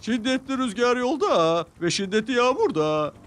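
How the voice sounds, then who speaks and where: A man voicing an alarmed helicopter in a children's cartoon, calling out warnings in a loud, urgent, cautioning way. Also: very high-pitched, big pitch swings, moderate pace, voice pushed up, full character voice. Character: alarmed male helicopter shouting storm warnings.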